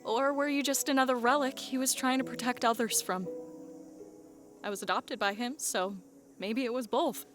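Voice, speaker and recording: A woman's voice: on the high side, fairly even intonation, moderate pace, fairly bright tone, lightly acted. A woman voicing a guarded young half-genie woman in an audio drama, with dry, sarcastic banter. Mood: troubled. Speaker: voice actor. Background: faint background music.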